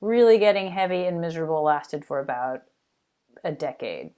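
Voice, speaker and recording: A woman guest on a podcast, telling stories in an animated, quick way. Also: low-pitched, clean tone. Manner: animated, quick, laughs while recalling. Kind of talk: casual interview chat.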